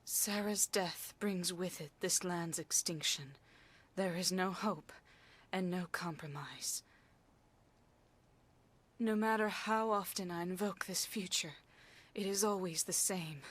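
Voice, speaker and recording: An actress playing a grave elven fortune teller in a video game, speaking in a stately, portentous and formal manner. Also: female voice, on the low side, slow. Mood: grave, urgent. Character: solemn elven fortune teller, grave adult woman prophetess. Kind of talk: dramatic game cutscene acting.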